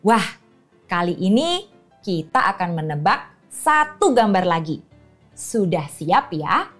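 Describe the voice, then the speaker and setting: A woman hosting and telling stories and riddles to young children, animated, with frequent cheerful exclamations. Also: on the low side, slow pace, soft background music. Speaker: children's storyteller and host.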